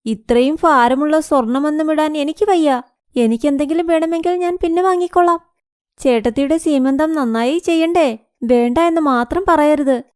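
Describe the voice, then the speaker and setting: Woman as a gentle, high-voiced young daughter-in-law. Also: moderate pace, no room sound, clean studio sound, natural voice. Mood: gentle pleading. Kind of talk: cartoon moral story acting.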